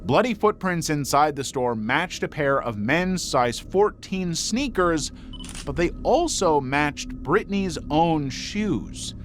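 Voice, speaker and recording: Male true crime narrator: slightly high register, animated melody, measured dramatic phrasing over a music bed. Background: background music.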